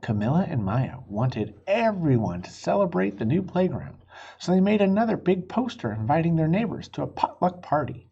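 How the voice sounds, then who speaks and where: A man reading a picture book aloud to children in an encouraging, husky voice, pausing to explain and ask questions. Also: a little high, very animated, dark tone, muffled recording. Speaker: children's story reader.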